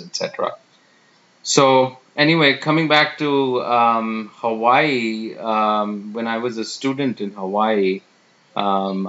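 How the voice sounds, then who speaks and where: Man from India in his fifties, low voice, slow self-introduction full of fillers, dull lo-fi recording. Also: a bit bright. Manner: unhurried, many fillers and pauses. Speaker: real estate professional. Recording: dull lo-fi sound.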